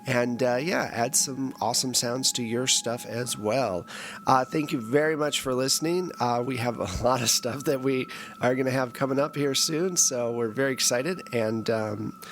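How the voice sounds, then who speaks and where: A man's voice: mid-range voice, normal speed, slight background noise. Man host and game master, ordinary mid voice, stop-start delivery. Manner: hesitant, searching for words. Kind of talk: running a tabletop game, podcast outro.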